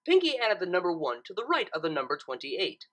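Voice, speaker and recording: A woman reading a fan fiction story aloud as an expressive narrator. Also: fairly low pitch, a little fast.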